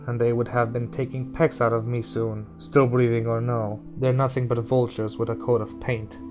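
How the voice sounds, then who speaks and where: A man's voice: medium pitch, level tone, moderate pace, music in the background, natural voice. A man voicing a weathered male gunslinger muttering dry, grumbling thoughts. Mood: wry.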